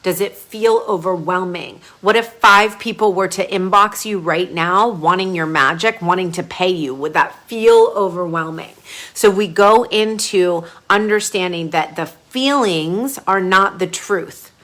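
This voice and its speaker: A woman brand coach leading a live group coaching session. She talks casually and chattily, with sudden gushing bursts of excitement. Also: on the low side, measured pace. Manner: casual, chatty, with frequent fillers.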